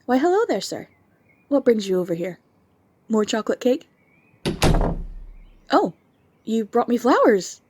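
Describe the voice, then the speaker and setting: A woman's voice: on the high side, big pitch swings, a little slow, pauses often, darkish tone, slight background noise. A sweet young vampire girl. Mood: playful. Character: young vampire woman, friendly new neighbor. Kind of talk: ASMR audio drama.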